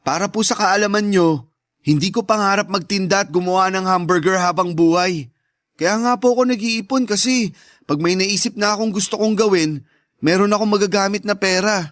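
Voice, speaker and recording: An actor playing a polite, proud young Filipino son in a radio drama, speaking earnestly. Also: male voice, high voice, fairly even intonation, a little fast, fairly bright tone, no room sound, studio quality. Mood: defensive. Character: meek but proud, hardworking young son. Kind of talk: Tagalog radio drama acting.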